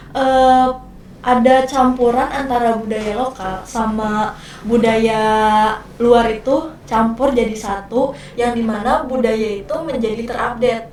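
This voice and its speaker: A woman's voice: fairly high pitch, darkish tone, a little hiss or hum. A young woman from Indonesia chatting as a podcast guest, explaining at length with fillers and restarts. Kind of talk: casual chat in a group. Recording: very echoey room, mic far off.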